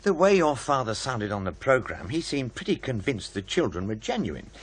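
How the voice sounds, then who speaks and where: An actor in a radio play playing a reasonable, persistent writer, mildly defensive, with a husky conversational voice. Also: male voice, medium pitch, sing-song, moderate pace. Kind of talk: radio drama acting.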